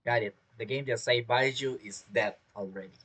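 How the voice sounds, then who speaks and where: A man's voice: medium pitch, moderate pace, a bit bright, slightly rough. A man giving casual, joking, reactive commentary as he streams a game. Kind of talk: casual commentary over gameplay.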